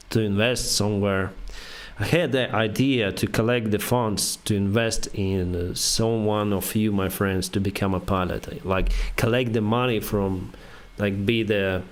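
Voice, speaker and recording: A young man whose first language is Russian, speaking English haltingly with many fillers. He reads viewer questions aloud and answers them on a casual live stream. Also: fairly low pitch. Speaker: airline pilot streamer answering chat.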